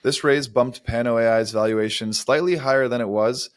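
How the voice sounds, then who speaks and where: A man's voice: medium pitch, steady tone, normal speed, no echo, studio quality. A man co-hosting a podcast, explaining startup news in an articulate, semi-scripted way. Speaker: podcast co-host.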